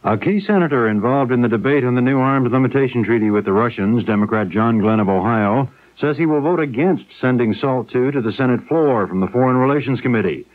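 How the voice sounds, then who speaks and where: A man's voice: medium pitch, fairly quick, hardly any pauses. An American man anchoring radio news from a script. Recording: lo-fi 1970s radio broadcast.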